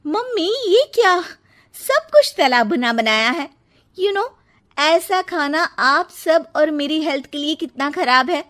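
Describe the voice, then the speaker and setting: A high, girlish young wife acted by a woman narrator from a storybook tale. She is fussy about fitness and quick to apologise, with her voice sitting well up in pitch. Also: lively intonation, a bit bright, heavily acted. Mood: fussy, disapproving. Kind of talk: storybook character voice.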